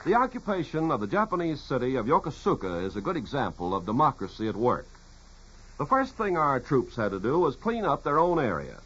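A man with an American accent reading scripted mid-century radio narration in a formal, measured announcer's manner. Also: mid-range voice, very animated, a bit bright, slight background noise. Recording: muffled old radio broadcast.

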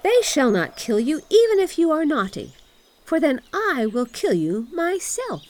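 Little robber girl, woman acting, high pitch, fierce, imperious, emphatic, wilful, storybook character. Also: very animated, measured pace. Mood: bossy.

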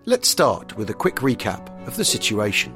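A man presenting a history podcast, reading scripted narration in a husky voice. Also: fairly low pitch, huge pitch swings, moderate pace, over music.

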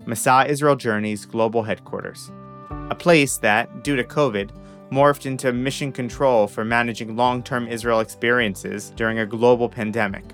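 A young man narrates a scripted podcast opening at a medium pitch, with a wry, self-aware touch. Light guitar music plays quietly under his voice. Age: young adult. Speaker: podcast host. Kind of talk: scripted narration and interview questions.

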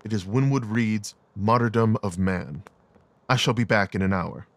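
A man acts a gentleman detective in an audio drama, his voice on the low side and recorded close and dry. He is coolly rational and aloof, clipping his words with analytical precision. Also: moderate pace, dry recording, lightly acted. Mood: cool, detached.

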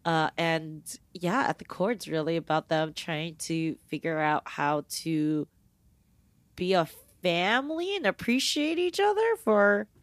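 Chatty, excited woman film reviewer, slightly low voice, dry mic. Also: unhurried, dry recording. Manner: enthusiastic, chatty, many fillers of like. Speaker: film podcast co-host. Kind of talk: casual film review chat.

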